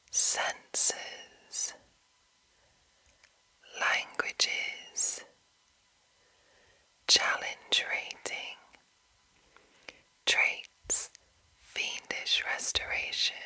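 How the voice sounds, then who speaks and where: Slow whispering woman, ASMR. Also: sharp tone, low-quality recording. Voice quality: breathy, unvoiced whisper. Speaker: host of a whispered ASMR channel. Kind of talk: whispered ASMR, reading and chatting.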